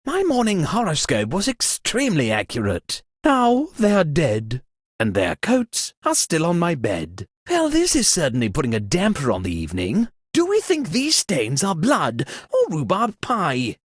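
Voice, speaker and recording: A pompous British aristocrat from a video game, flustered and easily indignant. His pitch swings wildly through every line with theatrical flourish. The voice has a husky edge and sits in a dry, close space. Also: huge pitch swings, a little slow, dry recording, heavily acted. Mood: dismayed, droll. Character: pompous, flustered aristocratic lord hosting a murder party. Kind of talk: video game character voice acting.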